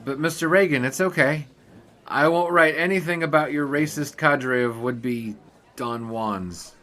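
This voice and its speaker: An actor playing a brash, wordy, wisecracking 1920s sportswriter in an old-time radio drama. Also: male voice, a little high, a little slow, fairly bright tone, a faint crowd. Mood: indignant, cocky.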